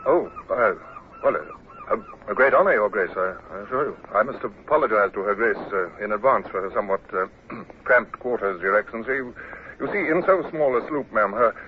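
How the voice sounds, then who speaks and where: An actor playing a polite young British sloop captain in a radio drama, courteous and stiffly formal. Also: male voice, lowish voice, wildly swooping pitch, a little fast. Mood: flustered, deferential. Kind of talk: vintage radio drama acting. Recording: tinny old radio recording with hiss.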